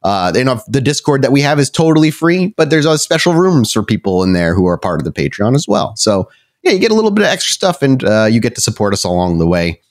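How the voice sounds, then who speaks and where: A man's voice: medium pitch, very animated, quick pace. A man working as a game master, narrating a tabletop roleplay podcast with dramatic, cinematic scene-setting.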